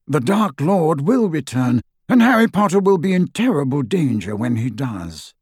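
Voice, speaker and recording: Audiobook role: cool, grave old wizard man performing, reproving yet composed, breathy-rough voice in a dry booth. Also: on the high side, sing-song, no room sound. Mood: solemn, urging. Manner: measured, cool, gently reproving. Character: calm, stern, wise old male headmaster wizard.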